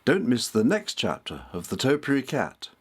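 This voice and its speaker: A man's voice: mid-range voice, huge pitch swings, normal speed, slightly rough. Man reading a storybook aloud. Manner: amateur, careful read-aloud delivery. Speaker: author reading own novelette. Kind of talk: scripted story narration.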